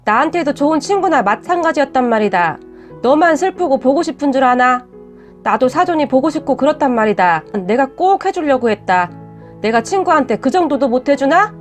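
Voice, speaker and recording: A woman voicing a blunt, gruff older mother-in-law in a Gyeongsang dialect, talking in a curt, scolding way. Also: high-pitched, lively intonation, brisk, faint music behind. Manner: curt, scolding, plain-spoken.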